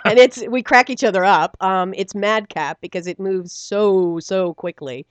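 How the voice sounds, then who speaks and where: A woman's voice: mid-range voice, unhurried, smooth, dry recording. A middle-aged woman, a stage actor, chatting animatedly in an interview, laughing often and using many fillers. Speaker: stage actor and theater company member. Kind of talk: radio interview, casual chat.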